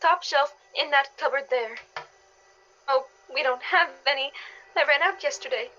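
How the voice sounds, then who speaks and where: A high, tense female voice in a dull, band-limited recording. An actress plays a fretful wife and mother in a radio play, pleading and anxious to the edge of despair. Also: moderate pace, pushed and bright, a little hiss or hum. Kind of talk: radio drama acting.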